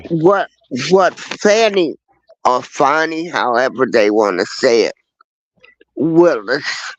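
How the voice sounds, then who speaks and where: A man speaks as a guest on a casual livestream. His delivery is slow, calm and measured at a middle pitch. His voice comes through dull lo-fi remote audio with no room sound around it. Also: no room sound.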